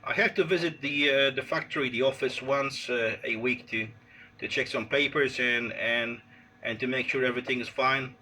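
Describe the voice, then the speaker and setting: A man with a Polish accent chatting casually as an amateur radio operator, speaking haltingly and searching for words. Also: mid-range voice, fairly even intonation, sharp tone. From Poland. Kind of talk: casual radio contact chat. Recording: noisy unplanned handheld phone recording.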